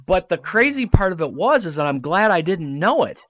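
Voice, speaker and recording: Middle-aged man, slightly high voice, lively anecdotal guest, dry close sound. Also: normal speed, dry recording. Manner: storytelling, animated, quotes others in dialogue. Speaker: radio drama producer and writer, podcast guest. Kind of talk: casual chat.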